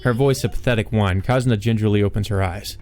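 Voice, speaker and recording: A man's voice: fairly low pitch, fast, a little mellow, soft background music. A man reading a visual novel aloud as a dramatic narrator for a let's play.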